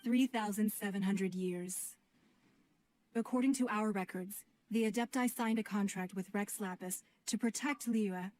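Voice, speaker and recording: A woman voicing a dignified, calmly authoritative leader in a video game, formal and diplomatic. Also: mid-range voice, slight background noise, close to an everyday voice. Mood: solemn. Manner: formal, measured diplomatic phrasing. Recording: game music under the voice.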